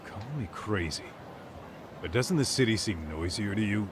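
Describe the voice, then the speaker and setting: An actor voicing a blunt, gruff middle-aged male detective in a video game. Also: fairly low pitch, animated, moderate pace, noisy background, natural voice. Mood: wry. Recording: game audio with music behind.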